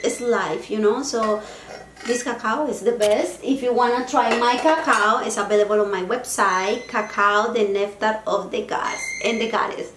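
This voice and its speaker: A woman's voice: fairly high pitch, fairly bright tone, reverberant, a little hiss or hum. A young woman with a Latin American Spanish accent, a native Spanish speaker, talking casually and playfully to her viewers about self-care, dropping Spanish words into her English. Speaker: vlogger. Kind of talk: casual self-help talk to camera.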